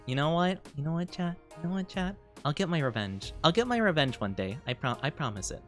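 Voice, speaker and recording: A man's voice: on the high side, lively intonation, brisk, soft background music. A man streaming live, chatting casually with viewers in a playful, mock-offended way, laughing often, his voice wearing thin. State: losing his voice. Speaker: VTuber streamer.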